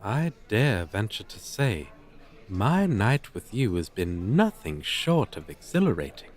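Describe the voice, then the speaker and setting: A man's voice: fairly low pitch, sing-song, a little slow. A man playing a pompous, flirtatious dragon teacher in an ASMR roleplay, speaking in florid, formal, condescending phrases. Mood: lofty, enamored. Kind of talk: ASMR roleplay, slow theatrical delivery.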